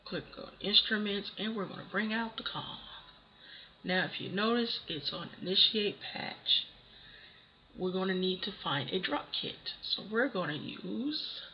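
A hoarse voice with a cold, casually talking through a software tutorial step by step. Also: medium pitch. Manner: casual, talks through steps one by one. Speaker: tutorial host. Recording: dull lo-fi voice-over with faint hiss.

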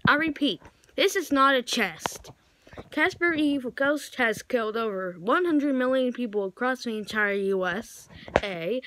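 A woman improvising mock emergency broadcast announcements in a halting way, correcting herself as she goes. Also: a little high, a bit bright, low-quality recording. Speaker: amateur vlogger play-acting emergency alerts.

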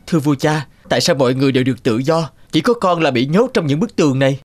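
Man acting an earnest, higher-voiced young prince. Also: quick pace, lightly acted. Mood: longing, pleading. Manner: earnest, heroic. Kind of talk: cartoon voice acting. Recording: music behind.

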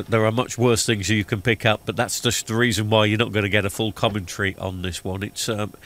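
A man's voice: fairly low pitch, background noise. A middle-aged man with a blocked-up nose from a cold, chatting loosely through a voiceover about a TV repair with lots of ums and uhs. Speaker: TV repair vlogger. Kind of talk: casual voiceover commentary. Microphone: studio microphone recorded in post-production. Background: background music.

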